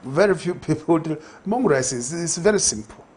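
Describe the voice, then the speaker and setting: Older Zambian man, husky voice. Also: fairly high pitch, animated, fairly quick, a little mellow. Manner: reflective, many fillers and restarts. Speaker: guest. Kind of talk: conversational TV interview. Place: TV studio set. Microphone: lapel.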